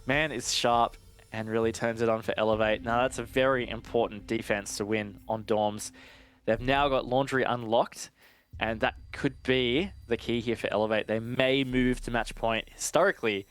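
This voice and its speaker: A man giving analytical esports commentary in a conversational, measured way. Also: medium pitch, steady tone, a bit bright, clear, resonant tone.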